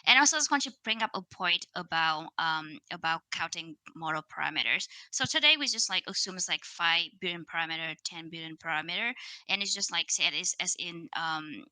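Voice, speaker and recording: A woman's voice: on the low side, lively intonation, brisk, sharp tone, dry recording, poor audio. A woman from Vietnam in her thirties, speaking English with a Vietnamese accent, giving a casual technical conference talk with many fillers and restarts over a video call.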